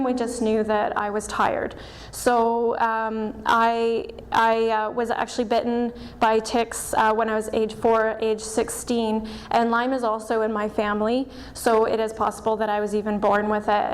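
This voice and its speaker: A woman giving a public presentation to a live audience, soft-spoken and a little nervous, with frequent ums. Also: a little high, rough recording. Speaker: practitioner giving a talk. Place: event hall.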